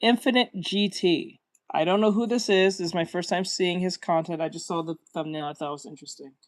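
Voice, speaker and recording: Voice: mid-range voice, fairly quick. Give me a blunt, offhand reaction host with a mid-range voice. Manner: offhand, swearing, trailing off quietly. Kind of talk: casual reaction commentary.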